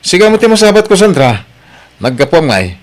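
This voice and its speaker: A man's voice: on the high side, big pitch swings, brisk, faint music behind. A man playing a hard-working husband and father in need of a job, in an Ilocano radio drama with an Ilocano Filipino accent. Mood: curious, animated.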